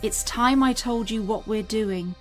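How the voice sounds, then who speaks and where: Woman acting grave, high-voiced secretive mother. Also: soft background music, natural voice. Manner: grave, deliberate explaining. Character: earnest, secretive alien mother confessing to her daughter. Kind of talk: audio drama acting.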